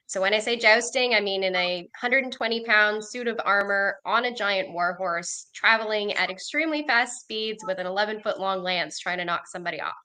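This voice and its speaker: A woman's voice: mid-range voice, fairly quick, few pauses, pushed and bright, clean tone. A Canadian woman author on a video call, telling her story with energy and confidence. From Milton, Ontario, Canada. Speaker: author and jouster.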